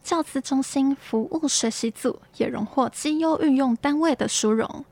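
A young woman reads scripted news in Taiwanese-accented Mandarin. Her voice sits a little high. The sound is very dry, with no room around it. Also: normal speed, a little mellow, no room sound. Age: young adult. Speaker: student news presenter. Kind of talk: scripted campus news reading.

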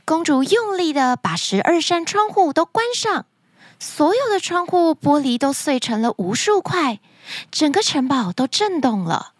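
A woman tells stories for children with dramatic expression. Her voice is high and swings widely in pitch. The recording is dry and close. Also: sing-song, unhurried, dry recording. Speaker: children's story podcast host. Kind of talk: animated scripted storytelling for children.

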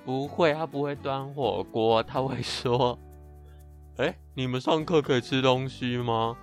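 A man's voice: mid-range voice, steady tone, a little slow, faint music behind. Young Taiwanese male co-host, joking storyteller. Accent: Taiwanese Mandarin. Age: teens to young adult, high school senior. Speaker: student podcast co-host. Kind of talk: casual chat and storytelling.

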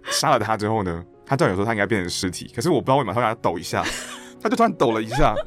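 Low-voiced, animated man with Taiwanese Mandarin accent. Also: very fast, soft background music. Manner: animated anecdotes, acting out quoted lines. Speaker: interview guest, stage actor and director. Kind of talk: casual podcast interview storytelling.

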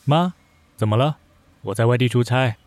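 A man's voice: medium pitch, normal speed, noisy background, natural voice. An actor playing an aloof, curt male boss of about thirty in a modern comedy radio drama. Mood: exasperated. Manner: curt, cool, exasperated.

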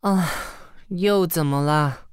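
Sardonic assistant, dry studio sound. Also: female voice, low-pitched, slow, clean tone, dry recording. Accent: Taiwanese Mandarin. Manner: sarcastic, teasing, weary. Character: sardonic, long-suffering female assistant to a fashion director. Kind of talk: radio drama acting with inner monologue.

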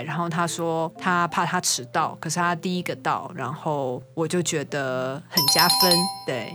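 A woman's voice: deep voice, a bit bright. A woman with a Taiwanese Mandarin accent, chatting casually as a photographer guest in an interview.

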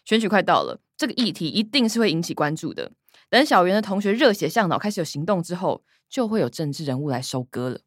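A woman playing a cynical, calculating young granddaughter in a radio drama, sardonic and expressive, with a Taiwanese accent. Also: fairly low pitch, fast talker, no echo, clean studio sound. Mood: coolly calculating. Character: young adult granddaughter, cynical, calculating, sharp-tongued schemer.

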